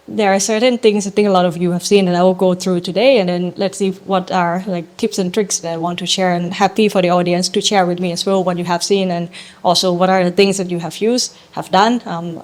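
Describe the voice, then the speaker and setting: Young woman presenting to a crowd, mid pitch, lots of ums, steady explaining. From Thailand. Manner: measured and explanatory, frequent ums, non-native grammar. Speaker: startup co-founder giving a talk. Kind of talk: presentation to a live audience.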